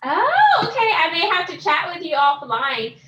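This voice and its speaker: A woman's voice: extremely high pitch, huge pitch swings, normal speed, a bit bright, muffled recording. Woman motivational speaker, encouraging and upbeat, video call audio.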